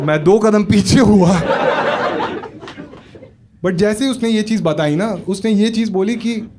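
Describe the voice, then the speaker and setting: A man's voice: high-pitched, measured pace, background noise. A man doing stand-up comedy, telling a story in a chatty way and asking the crowd questions. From Maharashtra, India. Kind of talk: stand-up set with crowd work. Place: comedy club stage. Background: audience laughter.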